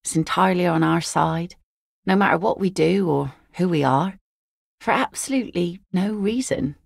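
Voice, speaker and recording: A woman voicing an easygoing, philosophical seafaring mother in a video game, with a wry, gently teasing, folksy manner. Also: fairly low pitch, normal speed, gravelly, dry recording, clean studio sound. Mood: wistful, musing. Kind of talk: naturalistic video game dialogue acting.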